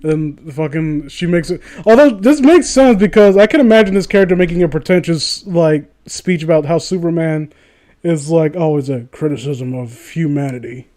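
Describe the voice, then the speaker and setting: High-voiced man, sarcastic read-aloud host. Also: normal speed, warm tone. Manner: sarcastic, incredulous, swearing. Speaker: host reading a story aloud. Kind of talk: comedic read-aloud with riffing commentary.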